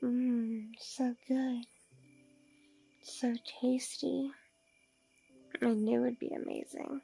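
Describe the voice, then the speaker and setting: Lady acting as sultry vampire milf, super slow n crackly whispery purr, bossy hypnotic asmr. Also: a little high, flat delivery, warm tone, soft background music. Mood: savoring, sensual. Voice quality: breathy, creaky, often whispering. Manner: slow, drawn-out, purring, domineering. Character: seductive, commanding mature female vampire, hungry and hypnotic. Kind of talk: intimate ASMR audio roleplay.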